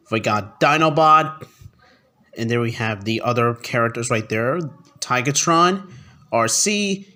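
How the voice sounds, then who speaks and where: A man's voice: medium pitch, sharp tone. A young man giving a casual, enthusiastic toy review to camera at home, often repeating words as he goes. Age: young adult. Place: small furnished room at home, indoors.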